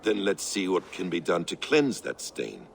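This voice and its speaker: An actor playing a calm, secretive male assassin who speaks in a measured, persuasive way. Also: sits low, vocal fry, a little hiss or hum, heavily acted. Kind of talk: video game cutscene acting.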